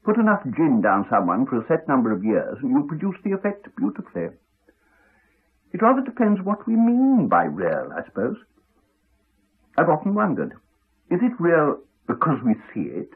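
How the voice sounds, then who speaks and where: An actor with an English accent playing a crotchety, evasive village doctor with dry, formal courtesy, in a muffled 1980s radio drama. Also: male voice, on the high side, wildly swooping pitch, husky, full character voice. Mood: dryly evasive. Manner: dry, formal, measured politeness. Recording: muffled, band-limited 1980s radio recording.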